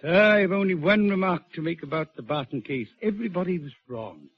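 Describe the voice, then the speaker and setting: An actor playing a huge, theatrical doctor of crime detection in an old radio drama, pompous and wheezy. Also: male voice, high-pitched, lively intonation, brisk, highs cut off. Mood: blustering, emphatic. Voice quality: wheezy, chuckling. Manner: pompous, oratorical, emphatic. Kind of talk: old-time radio acting. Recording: band-limited old radio broadcast.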